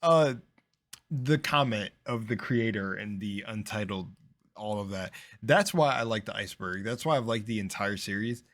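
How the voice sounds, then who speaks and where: A young man with a slight lisp talks through a reaction video in a rambling, filler-packed way. His voice sits fairly low, and it swings from loud bursts down to half-mumbled asides. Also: lively intonation, normal speed. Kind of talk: casual rambling reaction commentary.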